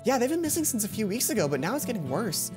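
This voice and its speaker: A woman's voice: a little high, very animated, quick pace, voice pushed up. A woman voicing an earnest, bookish teenage schoolgirl in a visual novel, polite and mild. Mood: helpful. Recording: background game music.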